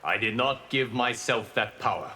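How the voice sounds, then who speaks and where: An actor playing a solemn, benevolent god in a video game, speaking in a formal, measured, lofty way. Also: male voice, medium pitch, bright, forceful tone, slight background noise, lightly acted. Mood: measured, consoling. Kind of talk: video game character dialogue.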